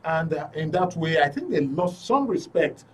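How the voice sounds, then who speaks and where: A man's voice: a little high, sing-song, moderate pace, far from the mic, slight background noise. A man with a Nigerian accent hosting a live political discussion, arguing emphatically and repeating words for stress. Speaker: talk show host. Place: radio and TV studio.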